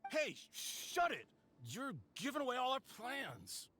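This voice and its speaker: High-pitched blustering bandit. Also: male voice, measured pace, a little hiss or hum, heavily acted. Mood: indignant. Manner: animated, informal, defensive. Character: blustering, indignant male bandit henchman. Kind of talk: video game voice acting.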